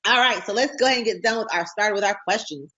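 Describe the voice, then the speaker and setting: An excitable, bubbly woman hosts a casual talk show, often breaking into laughs as she chats. Her voice has a mid-range pitch. The sound is dull and somewhat lo-fi. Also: quick pace. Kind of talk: casual interview chat.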